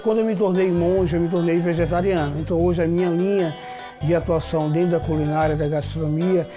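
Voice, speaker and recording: A man with a Maranhão accent, a chef, speaking in a calm, measured way in an interview. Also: fairly high pitch. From São Luís, Maranhão, Brazil. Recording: music bed underneath.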